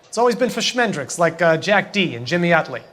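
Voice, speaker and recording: A composed gangster boss who never raises his voice. His tone sits slightly high for a man, and soft music hums faintly behind him. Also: sing-song, brisk. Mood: casual. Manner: condescending, calls the detective kid. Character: smooth older mobster boss, calm and menacing.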